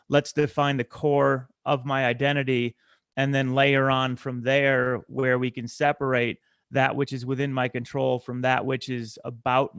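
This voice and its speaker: A man chairing a committee meeting who speaks deliberately, with many fillers and restarts. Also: medium pitch, fairly bright tone, smooth, no echo.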